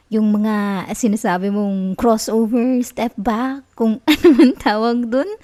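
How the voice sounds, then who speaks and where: Woman acting as obsessive young girlfriend, Filipino accent, soft dark-toned voice, dry intimate sound, cooing reassurance, sweetly manipulative, ASMR roleplay. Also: a little high, no room sound. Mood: playful, affectionate. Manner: coaxing, cooing, giggly reassurance.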